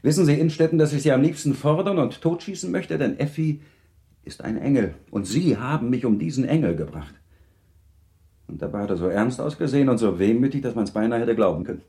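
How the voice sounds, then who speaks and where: An actor playing a courteous, affectionate middle-aged baron in a radio play, gentlemanly and gently probing in manner. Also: male voice, mid-range voice, lively intonation, a little fast, close to an everyday voice. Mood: wry, probing. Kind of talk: German radio play acting.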